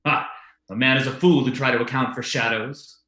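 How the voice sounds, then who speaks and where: A man's voice: mid-range voice, steady tone, brisk, sharp tone, reverberant, lightly acted. A man playing a blustering, volatile brother in an old-time radio ghost drama, theatrical and falsely jovial. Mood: brooding bluster. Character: blustering, volatile brother hiding guilty dread.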